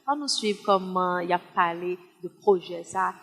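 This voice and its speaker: A woman with a Haitian accent hosting a broadcast interview, talking in a halting, measured way. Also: lowish voice, fairly bright tone. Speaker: TV show host and interviewer. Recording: very echoey hall.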